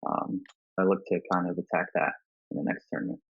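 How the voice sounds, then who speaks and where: A man's voice: deep voice, steady tone, brisk, creaky, slightly rough, dry recording. A man from New York State giving casual explanations as a guest on a gaming panel, over a dull voice call. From New York State, United States. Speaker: tournament player, panel guest.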